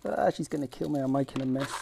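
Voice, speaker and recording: A man's voice: medium pitch, creaky, mellow, slight background noise. Casual middle-aged British man demonstrating. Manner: informal, self-deprecating, halting while busy with hands. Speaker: fishing vlog host. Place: small room at home, indoors.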